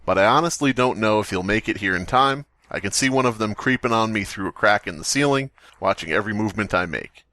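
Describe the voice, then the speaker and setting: A man's voice: lowish voice, fast talker, hardly any pauses, dry recording. A man reading as a frightened young journal writer. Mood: fearful. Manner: plain, steady reading.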